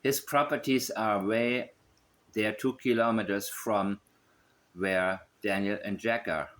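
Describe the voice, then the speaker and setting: A man's voice: fairly low pitch, fairly even intonation, a little slow, fairly bright tone. Musing man thinking aloud. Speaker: online host. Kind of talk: unscripted commentary while examining a map.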